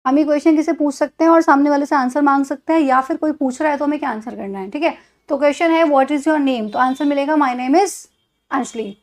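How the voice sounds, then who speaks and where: Brief: Indian woman, high-pitched, a patient teacher who spells things out for beginners. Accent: Indian English. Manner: patient, explains step by step, repeats example sentences slowly. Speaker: English teacher. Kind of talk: spoken English lesson in Hindi.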